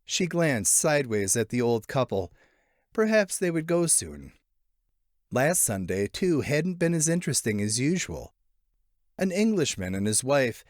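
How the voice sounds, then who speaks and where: A man reads literary fiction as an audiobook narrator, his pitch moving in lively sweeps around a medium register. He shapes each phrase expressively and leaves lingering pauses. The sound is close and dry, with no room echo. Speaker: narrator, short story reader.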